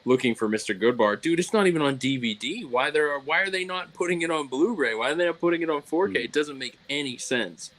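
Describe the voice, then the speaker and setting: A man in his forties on a movie panel, telling stories in an excitable, halting way. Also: fairly high pitch. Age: 40s. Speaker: panel guest. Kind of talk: casual panel chat.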